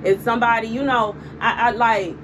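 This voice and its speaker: A woman in her thirties with a Southern US accent, speaking a casual prophetic message on her channel, emphatic and repetitive with animated gestures, recorded on a phone camera at home. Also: fairly high pitch, moderate pace. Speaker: prophetic video host. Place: indoor room before window blinds. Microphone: phone camera on a desk. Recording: clear room noise behind.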